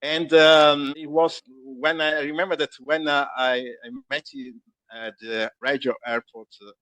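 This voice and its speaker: A man from Calabria with an Italian accent, a native Italian speaker, telling anecdotes as a tour guide in an interview and often pausing to search for words. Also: fairly high pitch, fairly even intonation, sharp tone, no room sound. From Calabria, Italy. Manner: halting, searching for words, restarting sentences.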